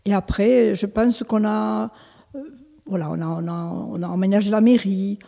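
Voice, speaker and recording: A woman's voice: medium pitch, fairly even intonation, moderate pace, gravelly, dark tone, in a large hall. A woman in her seventies, born in Italy, answering interview questions as a village mayor, hesitant with many restarts and fillers. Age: 70s. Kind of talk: local interview.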